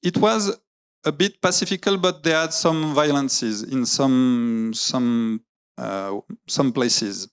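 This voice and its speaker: A man gives a talk in English, pausing often to hunt for words and starting his sentences over. His voice is smooth and clear at a middle pitch, steady and unforced. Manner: hesitant, searching for English words, many fillers and restarts. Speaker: graphic novelist giving a talk. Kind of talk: public talk with slides. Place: lecture venue.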